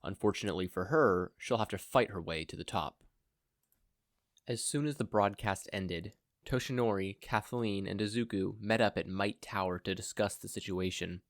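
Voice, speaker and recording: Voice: low voice, dry recording, studio quality. A fan fiction reader of unclear sex giving scripted story narration.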